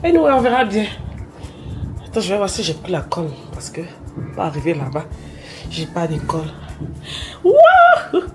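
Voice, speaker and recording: A woman's voice: mid-range voice, normal speed, pauses often, music in the background. A young woman, talking casually to her phone camera while she has a cold, slipping English words into her chat. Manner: chatty, animated, mixing in English slang. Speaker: vlogger.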